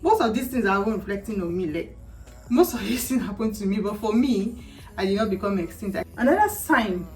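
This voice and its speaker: A chatty, animated Nigerian woman in her thirties talks casually to her viewers, laughing often and slipping into Pidgin turns of phrase. Her voice sits at a middling pitch. She sounds a little way off the microphone, with faint music underneath. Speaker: vlogger. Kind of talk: casual chat to camera. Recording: heard from a distance, with faint music.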